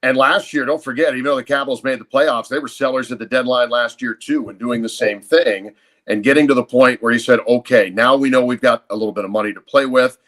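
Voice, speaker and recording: A man's voice: mid-range voice, quick pace, fairly bright tone. Articulate man talking sports analysis. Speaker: radio play-by-play announcer, podcast guest.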